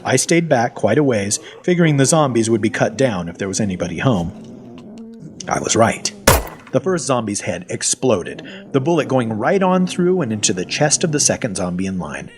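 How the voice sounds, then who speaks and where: Man narrating a story with animated, swooping pitch. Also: noisy background. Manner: conversational, wry, with dramatic pauses. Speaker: narrator of an audio fiction story. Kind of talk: first-person dramatic story narration.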